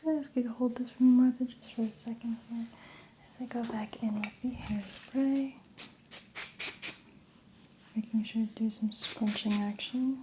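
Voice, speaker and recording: A woman whispering breathily in an ASMR roleplay, gently narrating each step as a hairstylist. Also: fairly high pitch, fairly even intonation, normal speed, long pauses, noisy background.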